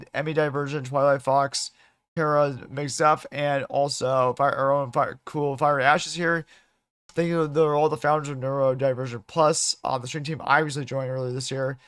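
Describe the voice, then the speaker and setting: A male game streamer talks casually to his chat in a somewhat high, slightly hoarse voice. He speaks haltingly, often doubling back to restart a phrase. The sound is dry, with very little room in it. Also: fairly bright tone, no echo. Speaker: livestream host, gamer.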